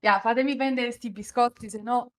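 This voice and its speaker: A woman's voice: a little high, steady tone, moderate pace. A woman co-hosting a live stream, chatting casually in slangy, exclamatory bursts. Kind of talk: casual chat.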